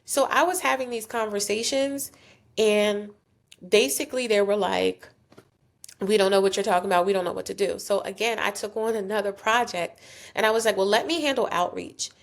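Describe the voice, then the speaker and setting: A woman's voice: medium pitch, fairly even intonation, normal speed, vocal fry. A woman in her thirties from South Jersey, a guest talking candidly about her career in a casual podcast interview, often acting out what others said to her. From South Jersey, USA. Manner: candid and chatty, acts out quoted voices. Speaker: guest, nonprofit founder.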